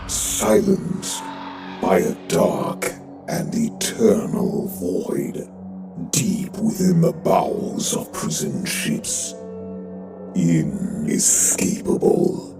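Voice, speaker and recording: A man voicing a sinister male AI that runs a prison ship, in a deep, gravelly, menacing tone, with music behind. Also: expressive, very slow, heavily acted. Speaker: voice pack narrator.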